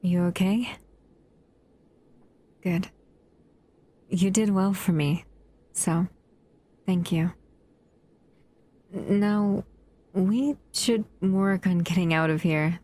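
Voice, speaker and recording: A woman voicing a doting, possessive female monster in an audio roleplay, speaking softly and often in a whisper. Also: on the low side, very slow, pauses often. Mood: hushed, scheming.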